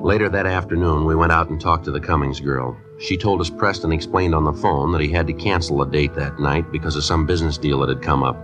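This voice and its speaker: An actor playing a terse police detective, narrating his case in the clipped, deadpan manner of 1950s radio drama. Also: rumbling low, fast, hardly any pauses, over music. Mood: flat, matter-of-fact. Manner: deadpan, matter-of-fact.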